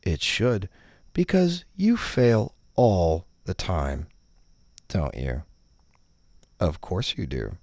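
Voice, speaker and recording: A man narrating a scripted parody guided meditation, giving instructions in a deadpan, condescending manner. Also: low voice, very slow, long pauses, dry recording.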